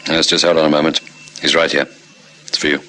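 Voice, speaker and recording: An actor playing a calm, terse, authoritative senior police brigadier in a radio crime drama. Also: male voice, rumbling low, quick pace. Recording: hissy vintage radio recording.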